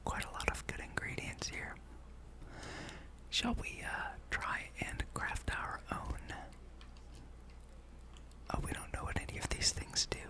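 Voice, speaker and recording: A breathy whisper from a gaming ASMR host, with no voiced tone at all. The delivery is an unhurried ramble, musing aloud over gameplay. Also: pushed and bright.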